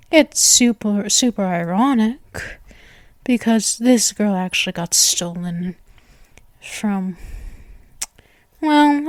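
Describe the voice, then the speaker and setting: A woman's voice: a little high, no echo, clean studio sound. A woman voicing a possessive, centuries-old vampire girl in an intimate ASMR roleplay, coy and cooing with a threatening edge.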